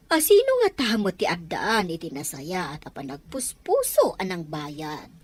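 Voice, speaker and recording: Audio drama casting: a woman as plain-spoken ailing mother, older, husky, with very lively pitch. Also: normal speed, full character voice. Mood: earnest, devout.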